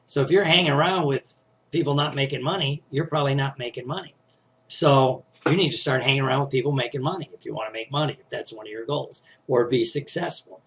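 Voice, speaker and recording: A man's voice: medium pitch, fast, fairly bright tone. A middle-aged man with a slightly scratchy voice, teaching success skills in a casual, conversational talk that he often punctuates with "right?". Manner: conversational, frequent "right?" and "you know" tags. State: scratchy voice, clearing throat.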